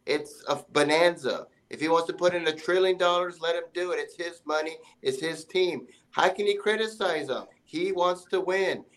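A man's voice: a little high, normal speed, hardly any pauses, fairly bright tone. A man on a sports talk panel making his case emphatically in short, punchy sentences.

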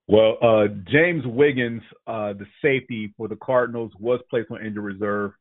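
A male sports podcast host chatting casually about the week's games. His voice sounds thin and phone-like, with no room echo at all. He runs through injury reports and team rankings in a relaxed way. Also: fairly low pitch, normal speed. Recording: tinny phone-like dry sound.

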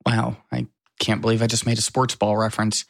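A man's voice: fairly low pitch, level tone, fairly quick, vocal fry, dry recording, clean studio sound. An American man co-hosting a podcast in casual, wry and self-deprecating conversation. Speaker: podcast co-host. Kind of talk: casual chat.

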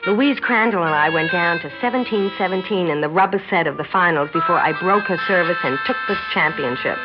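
A woman's voice: on the low side, brisk, lightly acted. An actress playing a cocky but naive young American woman athlete in a 1960s radio drama, speaking in a blunt, informal way. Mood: matter-of-fact narration. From United States. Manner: blunt, informal, matter-of-fact. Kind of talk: 1960s radio acting, first-person narration and dialogue. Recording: muffled 1960s radio recording with music.

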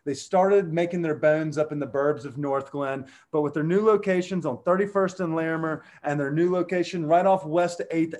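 A man hosting a casual podcast, talking with loud excited bursts and slang. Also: on the high side. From US South. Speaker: podcast host. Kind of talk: casual podcast chat and sponsor read.

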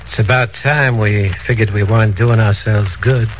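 An actor playing a curt, businesslike, commanding crime boss in a 1940s radio drama. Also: male voice, fairly low pitch, fairly even intonation, moderate pace, lightly acted. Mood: businesslike, assured. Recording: noisy 1940s radio broadcast recording.

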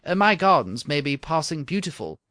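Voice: medium pitch, sing-song, brisk, fairly bright tone, no echo. A reader doing an earnest golf-mad young king. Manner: earnest, flowery old-fashioned speech.

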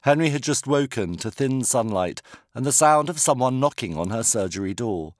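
A British man reading a comic novel as an audiobook narrator, measured and wry. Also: mid-range voice, lively intonation, dry recording, clean studio sound. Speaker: author reading own novel.

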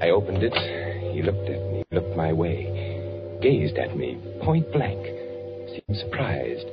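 A haunted fugitive assassin tells his own story in 1940s radio style. His delivery is clipped and theatrical with wide swings of pitch. Music plays clearly behind him on a dull vintage broadcast. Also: sing-song, heavily acted. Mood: tense. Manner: clipped, deliberate, dramatic storytelling. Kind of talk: 1940s radio acting, first-person narration.

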